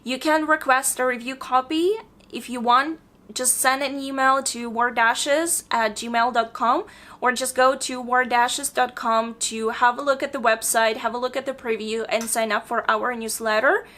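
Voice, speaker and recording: A woman's voice: on the high side, fairly even intonation, moderate pace, bright, forceful tone. A woman chatting casually in a book review vlog from her apartment, losing her voice, with lots of "like" and "um". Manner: chatty, with frequent "like" and "um". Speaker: book vlogger. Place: home apartment.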